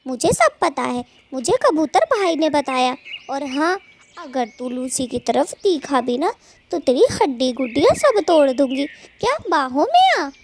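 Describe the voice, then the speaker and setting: A tiny, very high voice with lively swoops belongs to a feisty cartoon bird wife. She scolds dramatically and with a sharp tongue, over a noisy recording. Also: measured pace, full character voice. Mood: angry scolding. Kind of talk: Hindi children's cartoon story dialogue.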